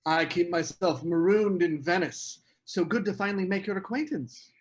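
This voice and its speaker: A man reading a charming, evasive young author at a table read, courteous and smooth. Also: high-pitched, normal speed, close to an everyday voice.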